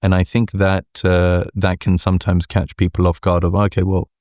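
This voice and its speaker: A man with a deep voice answering interview questions as a guest, measured and deliberate, often checking in with "right?". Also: completely flat delivery, darkish tone, no echo, clean studio sound. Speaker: venture capital partner, guest.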